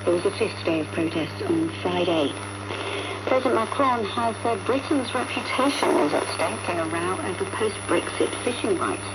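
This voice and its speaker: A woman's voice: mid-range voice, lively intonation, normal speed. A woman reading a formal scripted news bulletin on the radio. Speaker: newsreader. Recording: noisy shortwave radio broadcast.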